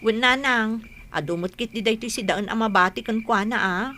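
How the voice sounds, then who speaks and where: Woman acting village daughter, high-pitched, bright, questioning, chiding, radio comedy drama. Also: moderate pace, slight background noise. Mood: curious. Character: inquisitive young grown daughter of a village household.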